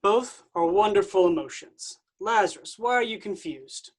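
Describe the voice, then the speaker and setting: A woman's voice: medium pitch, lively intonation, normal speed, breathy edge. A woman playing a flippant, laid-back male messiah who talks like a slangy dude, in a staged reading of a comic play. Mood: breezy, glib.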